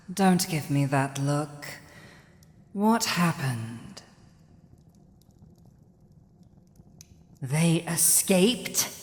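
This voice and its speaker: An imperious dragon ruler, acted by a woman, speaks very slowly in a low female voice, with commanding pauses. The villainous, protective creature sounds as if in a great hall with long reverb, in an ASMR fantasy drama. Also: heavily acted. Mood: exasperated, irritated. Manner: commanding, deliberate, pausing. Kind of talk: ASMR roleplay audio drama.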